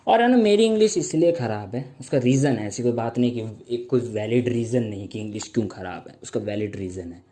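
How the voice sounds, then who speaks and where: A young man performing a stand-up comedy set, telling stories with slow mock-grand stretches and playful sound effects. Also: mid-range voice, expressive, warm tone.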